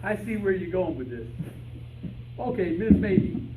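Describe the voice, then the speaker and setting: A man's voice: high voice, big pitch swings, measured pace. A man playing a boastful, muddled private detective in an old-time radio style comedy. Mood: blustering. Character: bungling, cocky private detective man seeking his canary. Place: live rehearsal in a room with audience. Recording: distant echoey room recording, noisy.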